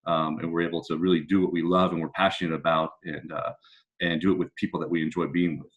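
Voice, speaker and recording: An American man speaking as a football coach, answering interview questions in a casual, reflective and halting way. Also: low-pitched, flat delivery, dull audio. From California, United States.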